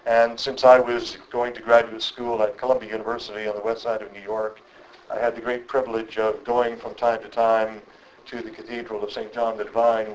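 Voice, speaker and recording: Middle-aged male lecturer, mid-pitched, thoughtful and deliberate, reflective talk with filler pauses. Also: rough recording. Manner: measured, thoughtful, with frequent um and uh.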